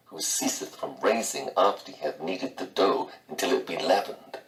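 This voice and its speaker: A man reading scripture in a solemn, deliberate way. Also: a little hiss or hum. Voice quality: low-pitched. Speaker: Bible reader. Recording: echoey room, distant from microphone.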